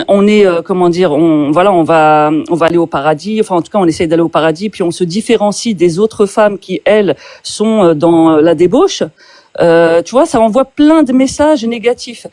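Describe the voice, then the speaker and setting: A French-born woman interviewer asking pointed questions in a debate, speaking haltingly with many fillers and restarts. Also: lowish voice, dark tone, no room sound. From France.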